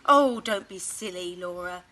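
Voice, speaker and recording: A woman's voice: on the low side, expressive, unhurried, pushed and bright, a little hiss or hum. A woman voicing a weary, exasperated but fond elephant mother from a children's storybook, with emphatic, sighing delivery. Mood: exasperated, giving in. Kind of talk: children's storybook character reading.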